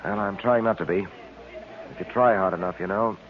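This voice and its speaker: Actor as brisk, low-voiced radio detective. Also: male voice, natural voice. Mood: dry, firm. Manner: unflappable, dry, clipped. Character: calm, commanding adult male police detective. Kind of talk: 1940s radio acting. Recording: muffled old radio recording with hiss.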